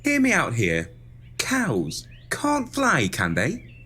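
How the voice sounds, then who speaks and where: An actor playing a naive, soft-hearted young farm lad in an audio pantomime, earnest, guileless and affectionate. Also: male voice, on the high side, wildly swooping pitch, takes it slowly, husky, exaggerated voice. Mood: hopeful, earnest.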